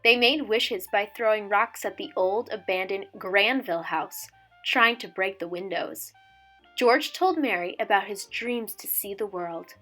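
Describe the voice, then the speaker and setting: A woman's voice: mid-range voice, a little slow, a bit bright, faint music behind. A woman in her twenties speaking as a teacher doing a storybook read-aloud for children. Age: 20s. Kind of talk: casual intro chat and storybook read-aloud.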